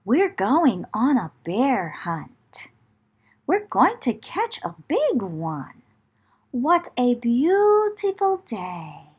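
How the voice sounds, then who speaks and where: Woman, children's read-aloud, high voice, very slow pacing, theatrical, rhythmic delivery. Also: wildly swooping pitch, breathy edge. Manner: dramatic, theatrical, with whispers and exclamations; deliberate rhythmic chanting of repeated phrases. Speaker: children's storyteller. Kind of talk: expressive picture-book read-aloud for children.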